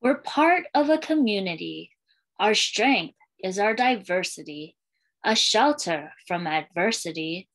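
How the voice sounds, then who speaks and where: Lively, unhurried woman reading to children. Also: medium pitch, poor audio. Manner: clear sing-song storytelling for young children. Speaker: elementary school librarian reading aloud. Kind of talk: children's picture book read-aloud. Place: small indoor room with bookshelves and curtain.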